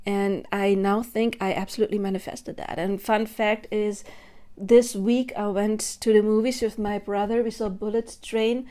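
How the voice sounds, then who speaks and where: A woman's voice: medium pitch, level tone, normal speed, warm tone. A woman in her thirties giving a spontaneous, earnest monologue to camera about her spiritual beliefs, thinking aloud as she goes. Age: 30s. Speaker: spiritual vlogger.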